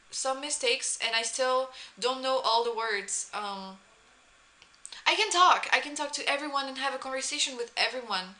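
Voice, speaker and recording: Bright young French-accented woman. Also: high voice. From France. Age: young adult. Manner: chatty, many "um" pauses and restarts. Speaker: vlogger, former exchange student. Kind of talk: casual talk to camera.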